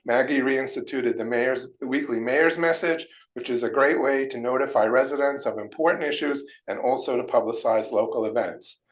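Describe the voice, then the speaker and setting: A man with a mid-range voice reads a prepared tribute at a township meeting. His delivery is steady and formal. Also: medium pitch, normal speed, hardly any pauses, rough recording. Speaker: committee member reading a tribute.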